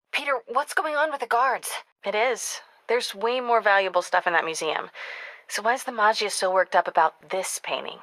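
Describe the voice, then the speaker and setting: An American actress playing a young woman reporter in a video game, caring, wry and quick-witted, in natural conversational dialogue. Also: on the high side, bright, forceful tone, dry recording. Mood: concerned, urgent.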